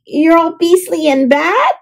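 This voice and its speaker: A woman's voice: very high-pitched, expressive, unhurried, voice pushed up, heavily acted. A woman voicing a kind, helpful young boy in a storybook, exclaiming and fussing earnestly. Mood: alarmed.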